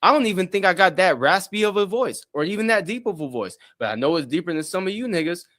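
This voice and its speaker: A man in a furious streaming rant, loud and profane, taunting his targets. His voice sits high for a man, and he keeps up a relentless, mocking push. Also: fast. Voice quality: deep voice in his own view. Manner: profane, loud, taunting, mocks others' monotone. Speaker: streamer in a played clip. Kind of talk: angry rant.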